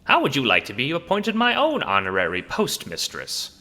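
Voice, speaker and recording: A man voicing a short, pompous, excitable self-styled mayor who speaks in a grandiose, demanding, stentorian manner for an audio drama. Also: medium pitch, moderate pace, in a large hall, voice pushed up, exaggerated voice. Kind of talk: audio drama character acting.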